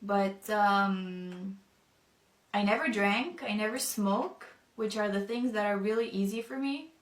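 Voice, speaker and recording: Young woman, non-native English speaker, personal story, thoughtful and halting, mid-pitched, echoey room. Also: recorded from a distance. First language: not English. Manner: thoughtful, hesitant, many fillers. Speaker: convert telling her story. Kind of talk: personal testimony.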